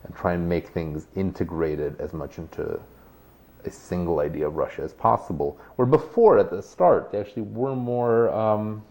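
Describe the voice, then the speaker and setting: A man's voice: deep voice, moderate pace, a little mellow. A man, a guest author, explaining history in a thoughtful conversational way with frequent restarts. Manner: thoughtful, many restarts and hedges.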